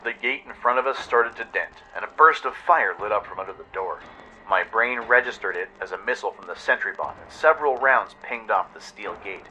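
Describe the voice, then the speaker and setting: A man with a lowish, very bright and forceful voice narrates a dramatic audio story. The delivery is scripted, and there is faint noise in the recording. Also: brisk, poor audio. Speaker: narrator and radio show host.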